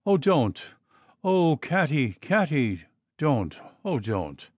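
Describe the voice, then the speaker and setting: A man's voice: on the high side, very animated, slow pace, dry recording, voice pushed up, heavily acted. A man reading an audiobook, voicing a timid young wife in tearful, pleading distress.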